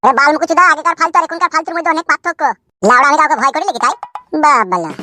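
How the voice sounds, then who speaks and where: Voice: high-pitched, very fast, pushed and bright, slight background noise. A performer voicing a cheeky, foul-mouthed village cartoon character with a rural West Bengal accent, arguing in brash slang. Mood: cheeky boasting. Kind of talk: comic cartoon dialogue.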